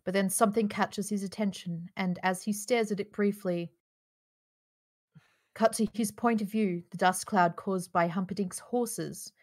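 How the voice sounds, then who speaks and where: Woman narrating with mid-pitched voice. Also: fairly even intonation, measured pace. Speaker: stage-directions narrator. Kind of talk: scripted narration at a table read. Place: remote video call.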